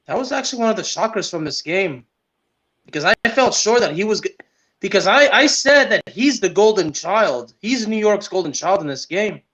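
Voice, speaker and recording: A man's voice: sits high, lively intonation, moderate pace, fairly bright tone. A man co-hosting a sports podcast, giving casual commentary on games. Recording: dull, lo-fi sound.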